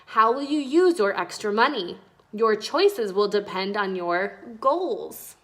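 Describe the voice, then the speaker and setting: A woman's voice: medium pitch, very animated, takes it slowly. A woman voicing a kindly, encouraging uncle who patiently explains things to children in a storybook. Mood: patient, instructive.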